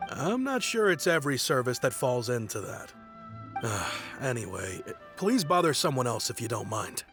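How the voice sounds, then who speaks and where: Busy townsman, lively dismissive delivery. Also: mid-range voice, normal speed, music in the background. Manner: polite but dismissive, hesitant openings. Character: busy, curt, skeptical townsman. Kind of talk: video game voice acting.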